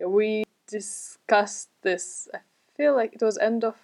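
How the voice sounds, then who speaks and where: A woman's voice: mid-range voice, takes it slowly, gravelly, darkish tone. A woman hosting a podcast, reading a welcome intro and then chatting with her guest in a friendly, easy way. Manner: friendly, laughs easily, short backchannels. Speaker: podcast host. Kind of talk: podcast intro and casual interview.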